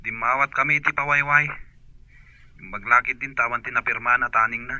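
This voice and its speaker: A man's voice: mid-range voice, steady tone, a little fast, sharp tone, no room sound. An actor playing an adult man who questions a relative over the phone in a radio drama. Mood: pressing. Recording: muffled phone line.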